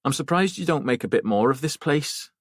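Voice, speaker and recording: A man's voice: mid-range voice, expressive, a little fast, slightly rough, dry recording, clean studio sound, voice pushed up. A man voicing a self-possessed, forthright female detective talking casually in an audiobook. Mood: casual, probing. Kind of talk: audiobook character voice.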